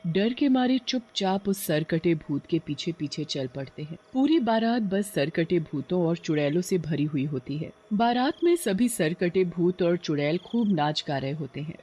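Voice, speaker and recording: A storyteller narrates a scripted horror tale dramatically. The voice is husky and lively, rising and falling often. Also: mid-range voice.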